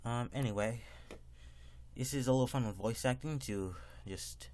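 A man presents his own amateur video in casual, informal talk. His voice sits at an ordinary middle pitch for a male speaker. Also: normal speed, a little mellow, slight background noise.

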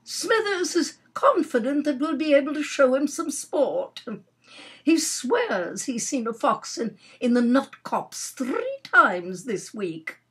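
Woman voicing an imperious country-house lady, lifted and lively. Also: sits high, voice pushed up, exaggerated voice. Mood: heavily satisfied. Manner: brisk, commanding, heavily self-satisfied.

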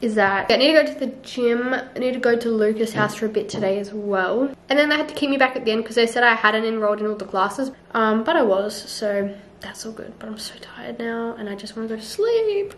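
A woman's voice: fairly high pitch, slight background noise. A young woman chatting casually to a handheld camera in a small room at home. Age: young adult. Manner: chatty, quick, trailing into quiet asides. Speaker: vlogger. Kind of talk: casual vlog chat to camera. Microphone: handheld camera close to face.

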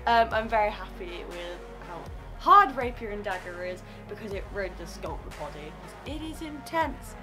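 A young woman in her twenties, a drama student vlogging casually with a slightly croaky voice, filmed at arm's length in a small student flat. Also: mid-range voice, normal speed, hardly any pauses, over music. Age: 20s. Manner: chatty and upbeat, laughs often. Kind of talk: casual vlog chat. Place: small student flat bedroom and kitchen, indoors. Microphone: camera mic at arm's length.

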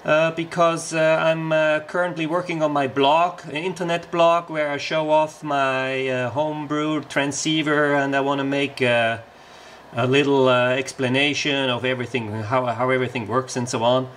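A man's voice: medium pitch, steady tone, normal speed, a bit bright, slight background noise. A man making a casual amateur radio contact, speaking politely and using radio procedure words.

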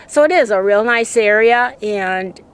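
A woman's voice: on the high side, measured pace, clear, resonant tone. An older woman who makes RV travel videos, talking casually to the camera outdoors. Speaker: RV travel vlogger. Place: outdoors at a campground.

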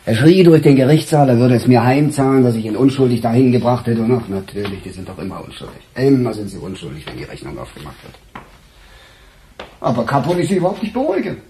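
An actor playing a weary, middle-aged police chief in a radio crime drama, musing in a husky, sardonic, halting way. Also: male voice, mid-range voice, very animated, mellow. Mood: brooding, agitated. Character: weary, embattled male police chief, brooding and gruff. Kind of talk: naturalistic German radio crime drama acting.

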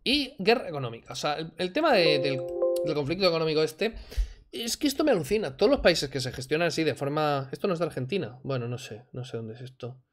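A man's voice: a little high, very animated, fast, soft background music. Man, 30s, sarcastic, mocking, home streaming setup. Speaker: reaction streamer. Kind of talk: casual commentary over a clip. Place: home room, indoors. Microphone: desk mic, wearing headphones.

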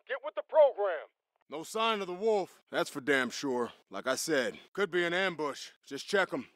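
A battle-hardened American Marine squad leader in a military shooter game. His voice sits high for a man and is recorded close and dry. He speaks in clipped, salty commands with total confidence. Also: fairly bright tone, no room sound. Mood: low, wary. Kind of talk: video game combat dialogue.

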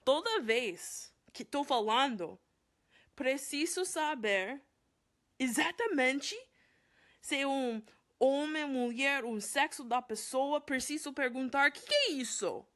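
A young American woman, a native English speaker, vlogging from a small room at home, explaining with animated exasperation to a phone camera at arm's length. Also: high voice, slow. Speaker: youtuber. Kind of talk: vlog to camera.

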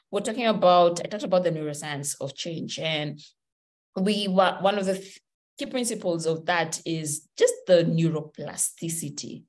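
A Kenyan woman in her thirties giving a motivational webinar talk over a video call from a room at home, animated and gesturing as she speaks. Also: fairly low pitch.

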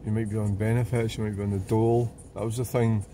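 A man's voice: on the low side, flat delivery, fast. A man chatting casually and sweary as he drives, rambling in a conversational mutter. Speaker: vlogger driving. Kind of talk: casual rambling chat while driving. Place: inside a moving car on a wet road. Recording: loud car and road noise.

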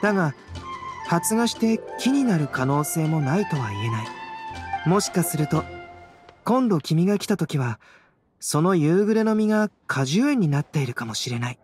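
An actor playing a calm young male alchemist scholar in an anime game, measured, wry and softly teasing. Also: fairly high pitch. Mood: calm, explaining. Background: game music.

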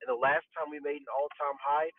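A husky man with a somewhat high voice speaks with emphatic urgency. He sounds tinny and narrow, as though calling in over a phone line, with dry audio. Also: fairly even intonation, a little fast. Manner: emphatic, urgent market analysis. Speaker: audience member asking a question. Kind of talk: casual voice-chat question.

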